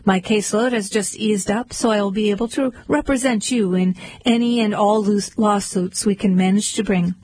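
A sly fox in a suit pitches his legal services with slick persuasion, as a woman reads him. The voice is slightly breathy and dry. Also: fairly even intonation, moderate pace, few pauses, darkish tone, dry recording, lightly acted, rough recording. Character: smooth-talking male fox lawyer.

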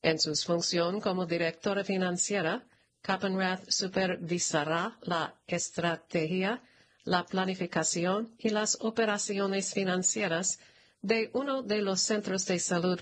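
A woman reading a scripted news announcement aloud in a formal, measured manner. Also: on the low side, steady tone. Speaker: narrator reading a press release.

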